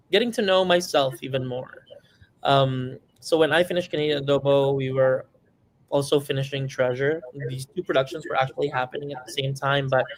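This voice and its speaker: A man's voice: medium pitch, poor audio. A young man from the Philippines, a filmmaker speaking reflectively as an interview guest, with frequent restarts. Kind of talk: casual interview.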